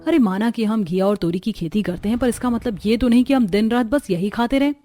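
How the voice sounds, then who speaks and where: A woman's voice: on the high side, fairly quick, mellow, lightly acted. A woman voicing a weary, complaining young daughter-in-law in a cartoon family story, over music. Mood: fed up. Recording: music under the voice.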